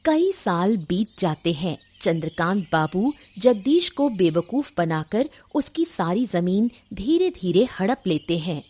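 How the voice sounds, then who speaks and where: A woman's voice: mid-range voice, big pitch swings, slow pace, hardly any pauses, warm tone. A woman narrating a scripted story.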